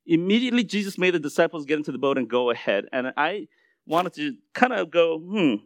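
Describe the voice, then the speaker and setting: A man's voice: a little high, very animated, a little fast, no echo. A middle-aged Korean American man sharing his story in a conversational sermon, often restarting his words. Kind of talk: sermon testimony.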